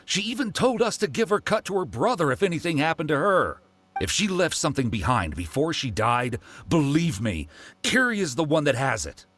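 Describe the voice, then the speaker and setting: A man's voice: a little high, sing-song, moderate pace, a bit bright. An actor playing an exasperated, guarded man with a shady past in a video game.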